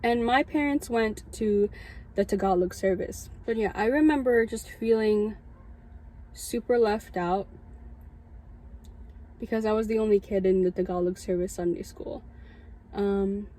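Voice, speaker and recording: A woman's voice: medium pitch, a little slow, pauses often, dark tone, poor audio. A woman in her early twenties with a Californian American accent, giving a personal testimony as a student chaplain in an informal, conversational storytelling way. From Southern California, United States. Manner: informal, conversational, frequent "like" asides. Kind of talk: casual personal storytelling to an audience.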